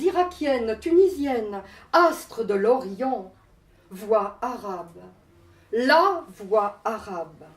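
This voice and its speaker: A woman's voice: on the high side, a little mellow. A woman reciting poetry in a declamatory, incantatory manner, in short separated phrases, as a poet performing her poem. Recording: roomy, echoing live space.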